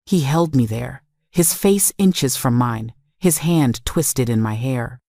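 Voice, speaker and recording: A woman's voice: very deep voice, expressive, slow, vocal fry, warm tone, no room sound, clean studio sound. A woman reading a scripted first-person dramatic story in a confessional, emphatic way. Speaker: story narrator.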